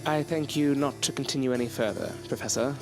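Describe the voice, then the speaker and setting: A man's voice: mid-range voice, steady tone, a little fast, music in the background, close to an everyday voice. An actor playing a proud, loyal male scientist in an audio drama, speaking in a formal, articulate, theatrical way. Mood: controlled anger. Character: proud, defiant, loyal male scientist, courteous but bitter. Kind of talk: period audio drama acting.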